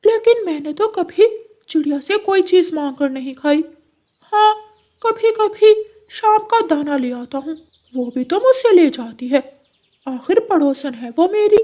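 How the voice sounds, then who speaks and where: A woman voicing an indignant, gullible male parrot in a cartoon, protesting excitably. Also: very high-pitched, a little slow, voice pushed up.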